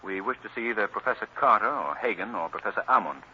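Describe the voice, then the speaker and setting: An actor playing a courteous, composed gentleman sleuth in an old radio drama, speaking in a polite, measured way. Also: lowish voice, lively intonation, lo-fi sound. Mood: polite, thoughtful. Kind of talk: 1940s radio acting. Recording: hissy, band-limited old radio recording.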